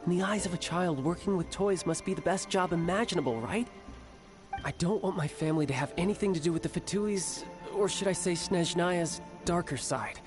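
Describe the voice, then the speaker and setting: A man's voice: sits high, fairly quick, natural voice. A man voicing a cocky, playful young male warrior in a game cutscene, teasing and mock-formal, with music behind. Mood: sly. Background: background music.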